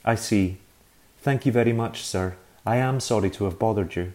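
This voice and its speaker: A man's voice: lowish voice, normal speed, dark tone, natural voice. A man voicing a timid young boy who speaks with anxious, exaggerated politeness. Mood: nervously polite. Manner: overly polite, nervous.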